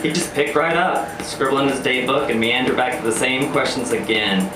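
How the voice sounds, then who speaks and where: A man's voice: medium pitch, moderate pace. Sardonic male spoken-word performer, roomy live sound. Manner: wry, sardonic, theatrical. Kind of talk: scripted first-person spoken-word storytelling, live. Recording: roomy live venue, distant mic, music. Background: electronic music beats.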